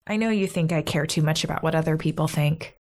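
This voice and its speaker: An actress playing a caring, indulgent young big sister in a modern audio drama, conciliatory and gently teasing. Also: female voice, low voice, fast talker, natural voice. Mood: gentle, earnest.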